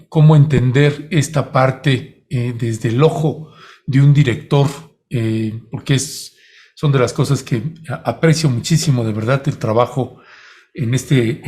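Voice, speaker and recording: A man with a mid-range voice, neither high nor low, co-hosting a news interview. He asks long, winding questions that loop back on themselves, with frequent restarts and "eh" fillers along the way. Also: a little mellow. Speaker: interviewer, co-host.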